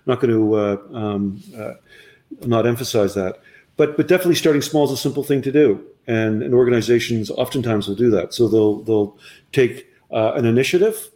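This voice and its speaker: A man's voice: mid-range voice, brisk, warm tone. A man answering interview questions about his company's platform, speaking eagerly and often repeating words as he restarts. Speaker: company representative. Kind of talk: conversational business interview.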